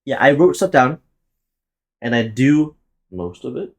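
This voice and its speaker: A man's voice: mid-range voice, sing-song, a little slow. A man about to turn twenty, hosting a solo podcast and rambling loosely with plenty of swearing and laughing at his own jokes. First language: Tagalog. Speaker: podcast host. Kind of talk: casual solo podcast monologue.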